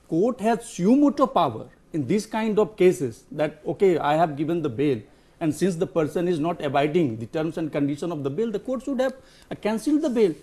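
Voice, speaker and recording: A male lawyer with a clear Indian accent and a fairly high voice. He gives legal commentary for a news panel, phrasing it haltingly with frequent hesitations. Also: darkish tone.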